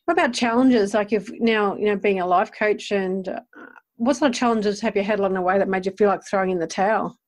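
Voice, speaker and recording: A woman with a mid-pitched voice works as an interviewer. She speaks casually and informally, affirming the guest and rephrasing her questions as she goes. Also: quick pace. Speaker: interviewer, host.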